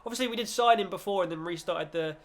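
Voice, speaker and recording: A man's voice: sits high, fast talker. A man hosting a gaming video with casual, chatty football commentary in informal British slang, slightly nasal from a cold.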